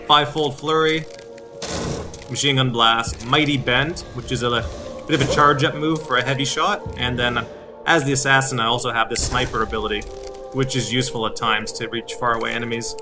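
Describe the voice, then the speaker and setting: Man narrating gameplay over music. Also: medium pitch. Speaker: gameplay host. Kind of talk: explanatory video game commentary. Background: game music.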